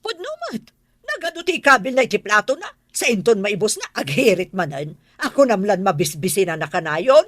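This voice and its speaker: A woman's voice: mid-range voice, big pitch swings, a little fast, fairly bright tone, no room sound, clean studio sound. A woman with an Ilocano accent playing a stern, exasperated but caring middle-aged mother in a radio drama.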